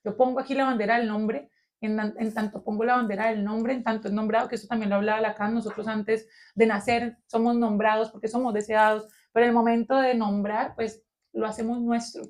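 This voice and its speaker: A woman with a Colombian accent and a fairly high voice co-hosts a round-table on philosophy. She talks in a lively, searching way, thinking aloud and reaching for her ideas with plenty of fillers. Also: fairly quick. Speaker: podcast co-host.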